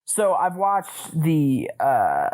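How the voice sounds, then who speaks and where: A man's voice: a little high, wildly swooping pitch, very slow, a little mellow. A man co-hosting a podcast in casual chat, rambling and joking, often trailing off with fillers. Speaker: podcast co-host.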